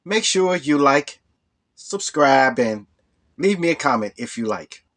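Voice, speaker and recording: A hoarse man with a cold telling a rambling personal story as the host of a storytelling channel. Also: mid-range voice, animated, a little slow, fairly bright tone. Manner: rambling, full of "you know". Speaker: storytelling vlogger. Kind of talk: casual storytelling.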